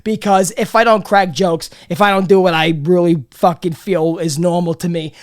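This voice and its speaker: Male comedy podcast host, clear voice though he's losing it, rambling and profane, lots of false starts. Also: high-pitched, steady tone, normal speed. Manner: rambling, profane, many restarts and repeats. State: losing his voice, a bit under the weather. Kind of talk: casual comedy podcast monologue.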